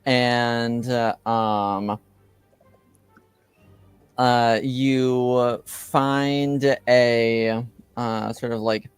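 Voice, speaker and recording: A man runs a tabletop game, improvising narration with a hesitant, slow delivery. His voice is smooth and recorded very dry. Faint music sits behind him. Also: mid-range voice, steady tone, dry recording. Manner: hesitant, halting. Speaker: game master.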